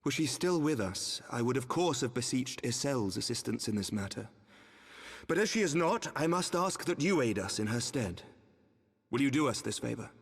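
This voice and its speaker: An earnest noble lord from a fantasy game. His mid-pitched voice is formal, measured and courteous, carrying the burden of office through a long, ringing hall reverb. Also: expressive, slightly rough. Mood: resolute, courteous. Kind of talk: dramatic fantasy video game cutscene acting.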